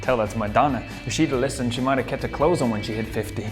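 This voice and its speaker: An actor playing an easygoing bandmate in a sitcom, teasing in a dry, deadpan, conversational way. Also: male voice, medium pitch, fast talker, music in the background, natural voice.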